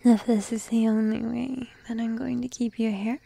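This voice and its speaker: A woman voicing a shy, needy young sheep-girl girlfriend in an intimate ASMR roleplay, soft, hesitant and drowsy, mostly whispering. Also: a little high, level tone, gravelly, no room sound. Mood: clingy, coaxing. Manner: timid, hesitant, drowsy, slow and cooing.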